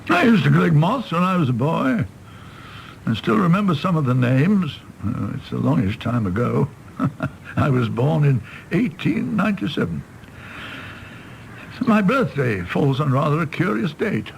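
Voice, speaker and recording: A man acting a tipsy, bitter, apologetic middle-aged British doctor in a radio drama, rambling and halting in a gravelly voice. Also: fairly high pitch, sing-song, a little hiss or hum, exaggerated voice, rough recording. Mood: wistful. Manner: rambling, halting, swinging from ranting to meek.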